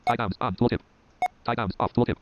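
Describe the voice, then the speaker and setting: A man's voice: mid-range voice, steady tone, a little fast. A synthetic male screen reader voice announcing interface labels in a clipped, robotic way. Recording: lo-fi with loud background noise, beeps.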